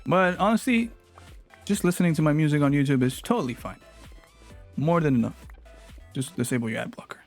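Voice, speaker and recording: A man's voice: a little high, brisk, long pauses, soft background music. A young man whose first language is Spanish, chatting casually and playfully with his live-stream chat. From Latin America. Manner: animated, playful, teasing with chat.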